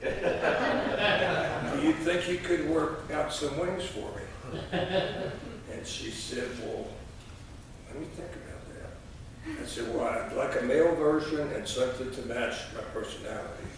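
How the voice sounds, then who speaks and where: A man in his sixties speaking at a church lectern as a lay reader, in a folksy, halting way with frequent pauses. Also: a little high. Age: 60s. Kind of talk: informal church address and scripture reading. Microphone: distant camera microphone. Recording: echoey church, distant mic, noisy.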